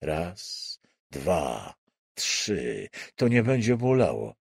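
Audiobook thriller villain, male narrator acting: self-styled saviour and torturer, deep, hushed, unhurried, soothing menace, dry sound. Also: sing-song, vocal fry, breathy edge, no room sound, heavily acted. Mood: calm, menacing.

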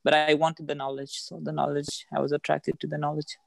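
A man from Afghanistan answering questions about his background in a group video call, speaking in a measured, careful way. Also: fairly high pitch, completely flat delivery, vocal fry, no room sound. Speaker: participant.

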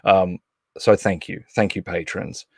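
A man with a fairly low voice hosts a casual livestream. He speaks off the cuff and a little hesitantly, on a close, dry mic with very little room sound. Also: flat delivery, a little slow, dry recording. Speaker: livestream host. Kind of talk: casual livestream introduction and announcements.

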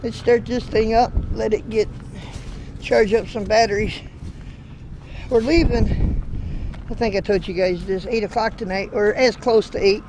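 A man's voice: high-pitched, steady tone, unhurried, pauses often. A man in his fifties, a trucker vlogging casually to viewers from his home, driveway and truck cab, talking in a rambling, folksy way. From Ohio, United States. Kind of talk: casual vlog talk to camera. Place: farmhouse kitchen, driveway and truck cab in cold weather. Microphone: handheld action camera. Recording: noisy handheld camera audio.

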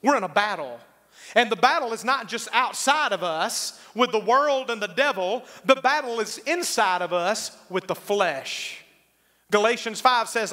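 Bright, high-pitched preacher man. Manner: emphatic, slowing on key phrases for repetition. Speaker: pastor. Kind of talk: sermon with congregational call-and-response. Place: church sanctuary stage, indoors.

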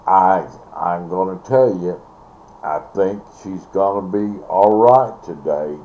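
An older man chatting casually and ramblingly, halting and repeating words, recorded on a device mic in a bedroom. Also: low-pitched. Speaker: vlogger. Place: small bedroom, indoors. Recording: dull, noisy webcam audio.

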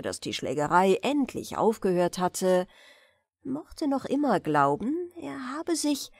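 A woman narrating a fairy-tale audiobook in an expressive, deliberate storytelling manner. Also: fairly high pitch, breathy edge, no room sound, studio quality.

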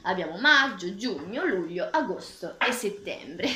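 A woman's voice: medium pitch, sing-song, a little slow, bright, forceful tone. A young woman casually reviewing a book to camera in a home kitchen, often searching for her words. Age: young adult, about 21. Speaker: vlogger reviewing a graphic novel. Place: small tiled home kitchen, indoors. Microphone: camera across the table. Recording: echoey tiled kitchen, clear background noise.

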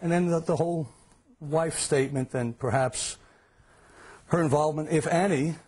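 A man's voice: fairly high pitch, normal speed, darkish tone, breathy edge. A middle-aged man giving investigative commentary as a guest in a live television news studio.

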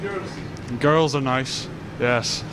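A man's voice: medium pitch, animated, drawn-out words, noisy background. A young man, a guest at a gamer roundtable, speaking offhand and joking into a handheld mic. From Scotland. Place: small restaurant.

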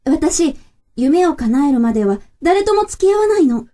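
A woman's voice: high voice, sing-song, normal speed, noisy background. A woman playing a sweet, dreamy, determined young ant in a radio drama, girlish and earnest. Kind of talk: cartoonish radio drama acting.

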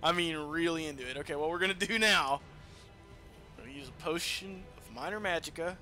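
A man giving casual let's-play game commentary in an excitable, foul-mouthed manner. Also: fairly high pitch, fairly quick, soft background music. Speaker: gamer playing and commentating.

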